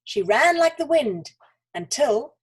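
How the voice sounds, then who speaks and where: Animated woman reading a children's story. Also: high voice, takes it slowly. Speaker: school storyteller.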